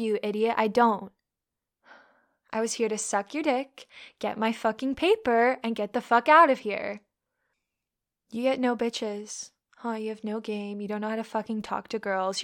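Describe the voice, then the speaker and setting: A woman's voice: a little high, gravelly. A young woman playing a scornful, bullying college woman who taunts and swears constantly. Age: young adult. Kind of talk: audio roleplay.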